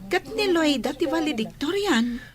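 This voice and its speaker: An actress with an Ilocano accent playing an excitable, supportive teenage classmate in a radio drama. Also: female voice, high-pitched, unhurried, a crowd in the background. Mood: teasing, assured.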